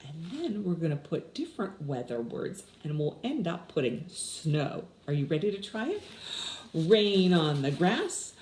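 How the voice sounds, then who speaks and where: Woman, 60s, children's story-time leader. Lowish voice, unhurried, instructive, with questions for the kids. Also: a little mellow. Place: small indoor room with window blinds. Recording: roomy echo with clear background noise.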